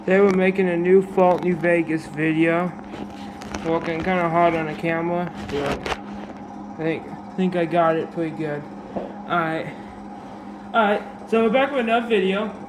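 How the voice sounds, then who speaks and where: A man with a slightly raised pitch and a dry, sore throat that roughens his voice a little talks through a game tutorial. He wanders and restarts, filling gaps with ums and alrights. The recording is echoey, with steady background noise from the camera mic. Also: moderate pace, long pauses. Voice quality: slightly raspy. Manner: rambling, many fillers and restarts. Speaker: gaming video creator. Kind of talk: casual game tutorial commentary.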